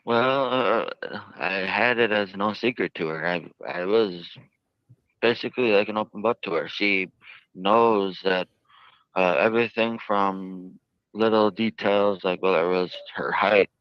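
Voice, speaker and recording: Very deep, smooth-voiced man, dull recording. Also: no room sound. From United States. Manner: halting, earnest, stiffly formal phrasing. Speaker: jail inmate being interviewed. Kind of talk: recorded interview answers. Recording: dull lo-fi archived interview audio.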